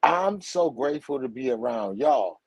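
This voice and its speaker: A man's voice: medium pitch, animated, normal speed, husky, dry recording. A man in his forties who grew up in Brooklyn, talking in a rambling, slangy way as a guest. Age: 40s. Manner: rambling, slangy, repeats "you heard?" and "for real". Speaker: guest, teacher and author. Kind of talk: casual spiritual conversation.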